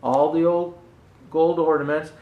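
An older man reading a children's picture book aloud, pausing to explain things gently to young viewers. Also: fairly high pitch, flat delivery, narrow, tinny audio. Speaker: storyteller dressed as Santa Claus. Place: indoor room with Christmas decor. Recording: roomy indoor echo.

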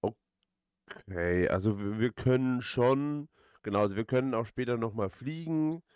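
A man's voice: medium pitch, lively intonation, a little slow, a little mellow, clean tone, no room sound, clean studio sound. A man giving casual let's play commentary as host, rambling hesitantly and repeating his phrases.

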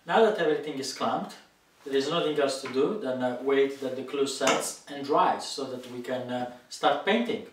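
In a roomy, echoing indoor workshop with some noise behind him, a man in his forties explains a DIY job. He talks casually and haltingly, thinking aloud, picked up by a camera mic at some distance. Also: medium pitch. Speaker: DIY video maker. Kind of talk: casual hands-on workshop tutorial narration. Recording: echoey room, faint background noise.

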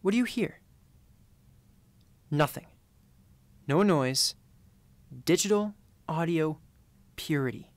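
A young man performing commercial voiceovers in a studio, with a hushed, intimate and measured delivery. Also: on the high side, animated, no echo. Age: young adult. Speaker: voice actor. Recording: music bed behind. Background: music beds.

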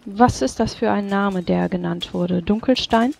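A game master narrating and speaking in a formal, measured way as a stern official in a tabletop role-playing game. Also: mid-range voice, vocal fry, a little mellow. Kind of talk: tabletop role-play narration and in-character dialogue.

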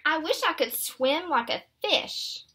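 A small, wistful chameleon from a children's storybook, voiced by a woman reading aloud. The voice is high and swings expressively, full of longing. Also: lively intonation, slow pace, bright, forceful tone, full character voice. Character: small chameleon, wistful, wishing to be other animals.

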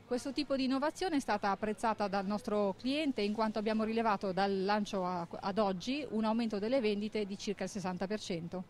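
Businesslike woman presenting with a handheld mic. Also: mid-range voice. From Italy. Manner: measured, businesslike, with frequent hesitations. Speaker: marketing director. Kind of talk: corporate presentation. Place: inside a supermarket.

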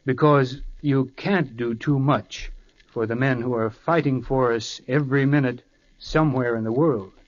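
A man's voice: mid-range voice, measured pace. A man, an actor, speaking as himself in an earnest, persuasive scripted radio appeal. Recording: dull 1940s radio recording.

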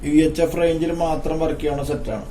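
Mellow man, slightly noisy recording. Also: fairly high pitch, quick pace. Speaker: tech video presenter. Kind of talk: informal hands-on gadget demonstration.

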